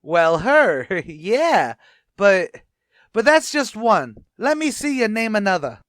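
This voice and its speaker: A blustery, self-important tavern manager, voiced by a man, in old-time radio comedy style. His wisecracks leap all over the pitch range. The recording is close and dry. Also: big pitch swings, takes it slowly, a bit bright, no echo, heavily acted. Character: boastful wisecracking male tavern manager, adult.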